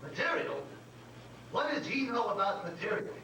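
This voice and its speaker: A man playing a grumbling, slightly dim old comedy partner, deadpan and complaining in a staged reading. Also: sits high, moderate pace. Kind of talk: staged reading before an audience. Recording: echoey, distant, noisy live recording.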